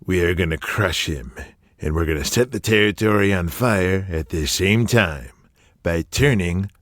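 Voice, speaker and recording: Guy doing a cussing old wrestling promoter, real deep, slow and bossy. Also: fairly bright tone, no room sound, clean studio sound. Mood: scheming. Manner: blustering, swears heavily. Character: gruff aging male wrestling promoter, profane and domineering. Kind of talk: audio drama acting.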